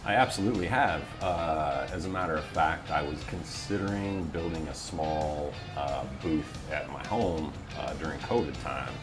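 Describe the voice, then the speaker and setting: A very low-pitched American man talks casually as an interview guest. He is wry and quick to poke fun at himself, and guitar music plays clearly behind him. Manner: self-deprecating, wry. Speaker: interview guest, office voiceover talent.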